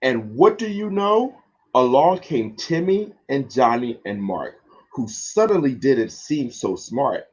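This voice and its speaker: Male teacher, guest on a read-along stream. Unhurried, husky, lo-fi video-call sound. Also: mid-range voice. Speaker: guest educator and children's book reader. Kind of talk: storybook read-aloud and informal talk. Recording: dull lo-fi online stream.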